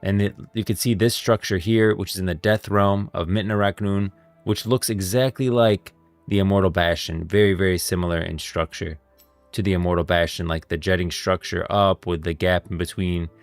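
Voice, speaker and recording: A low, gravelly male voice with music playing behind it. He talks like a keen live streamer breaking down game lore, casual and slangy, leaning hard on his points. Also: fairly even intonation, normal speed, dry recording. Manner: enthusiastic, emphatic, slangy.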